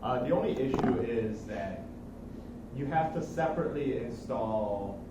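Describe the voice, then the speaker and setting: A man's voice: mid-range voice, expressive, unhurried. A man speaking casually about technical matters like an instructor, repeating and rephrasing himself as he goes. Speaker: course instructor. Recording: noisy echoey room, far from mic.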